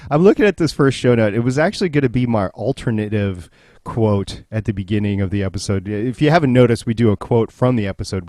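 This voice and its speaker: A man's voice: medium pitch, dark tone, no echo, studio quality. A man hosting a podcast, chatting casually and jokily about television. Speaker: podcast host. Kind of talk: casual TV recap chat.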